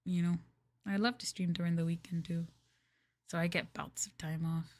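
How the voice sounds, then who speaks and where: A woman livestreamer chatting casually with viewers in a gentle, hushed, soft-spoken ASMR style, close to the microphone. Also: lowish voice, level tone, moderate pace. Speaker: VTuber livestreamer.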